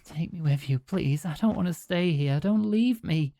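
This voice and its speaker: A man's voice: high voice, takes it slowly, mellow, pitched above the performer's own voice. A man voicing a dying young girl who pleads through tears in a read-aloud story. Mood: pleading. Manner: pleading, tearful. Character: dying young girl, frightened then joyful.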